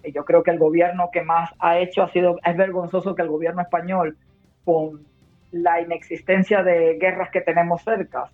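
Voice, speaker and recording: A woman's voice: lowish voice, flat delivery, moderate pace. Woman from Cuba, war correspondent, emphatic, urgent, tinny phone audio, faint music behind. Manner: emphatic, warning, long heated turns. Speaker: war correspondent and nurse guest. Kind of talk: phone interview. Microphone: phone call.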